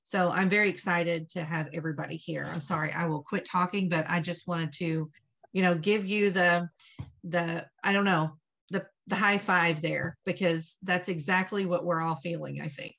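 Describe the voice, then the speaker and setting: A woman's voice: on the low side, fairly even intonation, normal speed, creaky, a bit bright. A woman, a project organizer, speaking on a video call from a home office. She talks with enthusiasm and rambles with fillers. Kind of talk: video call panel remarks. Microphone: webcam on video call.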